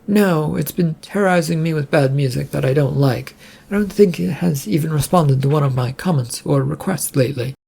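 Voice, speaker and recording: A man's voice: fairly high pitch, normal speed, gravelly, darkish tone, breathy edge. A man voicing a jumpy, stubborn female ship's engineer in an audio story, complaining and a little hesitant.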